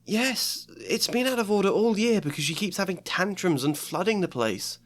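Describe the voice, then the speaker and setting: A man's voice: sits high, animated, pitched above the performer's own voice. A man voicing an anxious, bossy schoolgirl in a storybook reading. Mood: frantic. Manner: rushed, fussy and anxious. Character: a high, frantic, bossy schoolgirl.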